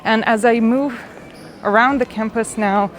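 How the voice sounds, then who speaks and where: A woman's voice: fairly high pitch, steady tone, unhurried. A woman, presenting a campus tour in an upbeat, welcoming manner as a student guide. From Serbia. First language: Serbian. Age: 20s. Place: university campus, outdoors and in large halls.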